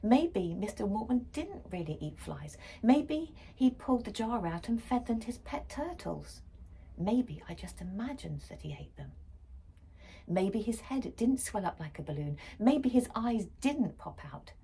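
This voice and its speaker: A woman's voice: fairly high pitch, big pitch swings, measured pace, vocal fry, warm tone, husky. A woman reading a children's story aloud expressively, as for a school library.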